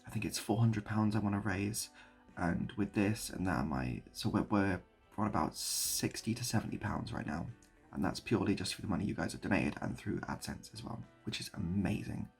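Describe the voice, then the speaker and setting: A British man improvising a rambling story and chatting as a video host, mock-nostalgic and often repeating his phrases, with a husky, gravelly voice. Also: lowish voice. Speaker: online video creator and host.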